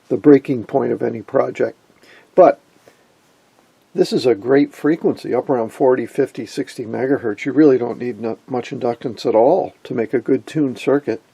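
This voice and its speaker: A middle-aged man giving an informal hands-on tutorial in an unhurried, folksy manner. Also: medium pitch, vocal fry, dark tone, breathy edge, no echo. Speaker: electronics hobbyist presenter. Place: indoor electronics workbench.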